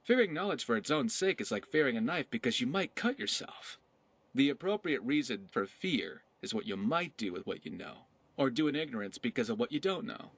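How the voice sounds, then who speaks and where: An actor playing a roguish, witty pirate companion in a video game, talking in a wry, philosophical, conversational way. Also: male voice, medium pitch, very animated, fairly quick, a bit bright, breathy edge, no echo, full character voice. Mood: wry, persuasive.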